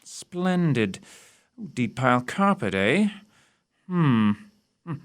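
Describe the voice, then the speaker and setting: A keen, self-assured gentleman detective played by a man in a period audio mystery. His voice sits at an ordinary male pitch. He speaks in precise, formal sentences with pompous assurance and dry wit. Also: sing-song, takes it slowly. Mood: brisk, absorbed.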